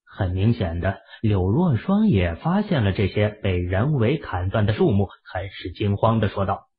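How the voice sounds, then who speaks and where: A man with a raspy voice narrating an audio drama in a steady storytelling manner. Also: fairly low pitch, moderate pace, phone-quality sound.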